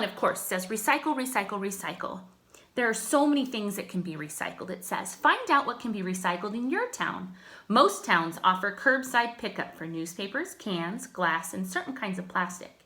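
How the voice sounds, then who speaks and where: A woman reading a children's picture book aloud like a teacher, with expressive, emphatic phrasing. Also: mid-range voice. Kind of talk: children's story read-aloud.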